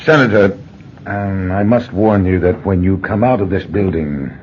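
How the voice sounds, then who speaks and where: A man playing a coldly formal, ominous general in a radio drama, measured with hesitant pauses, on a dull, noisy recording. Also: sits low, a little mellow, lightly acted. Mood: measured, grave.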